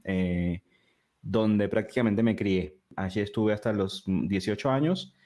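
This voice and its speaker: A man in his thirties, born in Peru, who talks with a River Plate Spanish accent, chatting as a guest in a relaxed, talkative way with many fillers and restarts. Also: fairly low pitch, measured pace. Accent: Rioplatense Spanish. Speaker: guest, digital product designer. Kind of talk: casual interview conversation.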